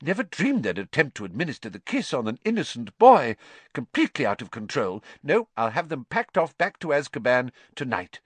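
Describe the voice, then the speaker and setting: A man acts out a pompous-sounding storybook official, older and easily flustered. The voice is pushed higher than normal, puffing and barking with indignation. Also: wildly swooping pitch, normal speed, few pauses, no room sound. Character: blustering, flustered older male government official.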